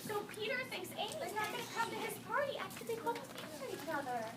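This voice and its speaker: Very high-pitched, animated woman teacher reading aloud. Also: fast. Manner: expressive, repeats and explains words for children. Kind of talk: animated picture-book read-aloud with questions. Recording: echoey noisy room from far off.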